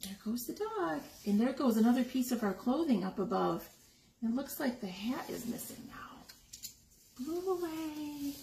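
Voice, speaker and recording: A woman reads a picture book to young children in a high, very lively voice. She takes her time, putting questions to listeners. The room is echoey with some noise behind her. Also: a little slow, gravelly. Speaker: story time reader. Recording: roomy echo with noticeable background noise.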